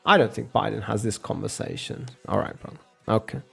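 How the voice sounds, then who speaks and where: Sarcastic guy ranting at someone, gravelly voice, calls em bro, swears a lot. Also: fairly low pitch, a little fast, a bit bright. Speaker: streamer arguing with a chat viewer. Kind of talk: casual argumentative rant.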